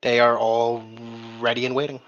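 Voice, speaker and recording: A man's voice: medium pitch, flat delivery, moderate pace, fairly bright tone, soft background music. Male game master, slightly dull lo-fi sound. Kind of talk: casual tabletop game narration.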